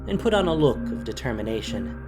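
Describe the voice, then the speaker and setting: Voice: mid-range voice, very animated, fast talker, over loud music. A narrator reading a scripted fantasy story in a dramatic storytelling style.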